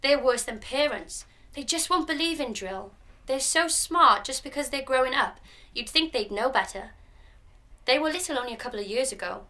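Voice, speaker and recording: A woman playing a young girl in a radio drama, sweet and calmly secretive about dark things. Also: high voice, moderate pace. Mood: matter-of-fact. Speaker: actor in a student radio drama.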